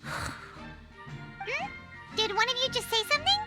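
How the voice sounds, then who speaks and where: Squeaky little pixie companion. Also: female voice, animated, takes it slowly, over loud music, heavily acted. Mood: curious, surprised. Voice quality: squeaky, childlike. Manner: refers to itself by name. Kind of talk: animated game voice acting.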